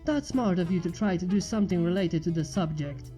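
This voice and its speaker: A woman voicing a kind, encouraging pony schoolteacher with a gentle, instructive manner in a story reading. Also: lowish voice, lively intonation, brisk, faint music behind, lightly acted.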